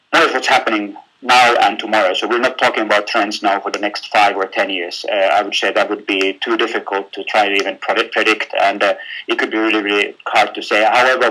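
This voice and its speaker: A man from Finland with a Finnish accent, giving a live webinar in a halting way, with many fillers and repeated words. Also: lowish voice, sharp tone, rough recording. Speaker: webinar speaker.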